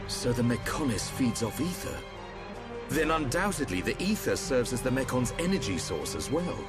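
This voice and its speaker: An actor playing a calm, measured, seasoned swordsman in a video game cutscene. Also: mid-range voice, over loud music, natural voice.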